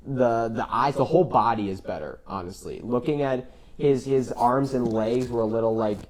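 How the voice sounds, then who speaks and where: A man's voice: mid-range voice, moderate pace, darkish tone, low-quality recording. Man co-hosting a casual movie chat. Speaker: podcast co-host. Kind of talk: enthusiastic casual movie discussion.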